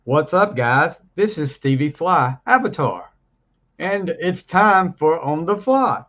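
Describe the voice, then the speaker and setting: A man co-hosting a casual podcast, rambling and laughing, his voice deeper than usual after the flu. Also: lively intonation, slow pace, fairly bright tone, dull audio. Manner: rambling, laughs often, trails off mid-sentence. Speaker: podcast co-host. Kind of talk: casual chat.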